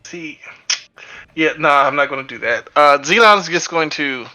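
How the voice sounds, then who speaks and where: A man's voice: fairly high pitch, animated, a little slow, sharp tone, soft background music, rough recording. A man playing a tabletop game over voice chat, talking casually with many fillers and hesitations. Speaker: tabletop player.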